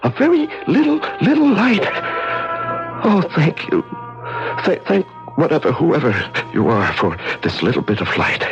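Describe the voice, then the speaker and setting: A desperate prisoner, wildly swinging voice. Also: male voice, a little high, huge pitch swings, dull audio, heavily acted. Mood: excited, straining. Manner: halting, repetitive, talking to himself. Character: desperate, unraveling male prisoner alone in a dark cell. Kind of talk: old-time radio drama monologue. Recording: vintage radio drama with music behind.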